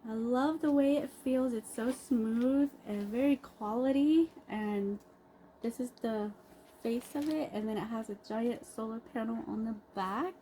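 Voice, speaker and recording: A woman giving a casual, improvised unboxing review, pausing mid-sentence in an appreciative, unhurried way. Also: fairly high pitch, dark tone. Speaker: product reviewer. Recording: clearly noisy background ambience.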